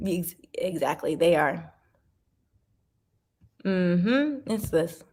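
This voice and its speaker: A woman in her forties casually chatting to viewers and reading comments, with animated emphasis and sudden jumps in pitch. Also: extremely slow, breathy edge. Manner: talks to viewers directly, sips wine.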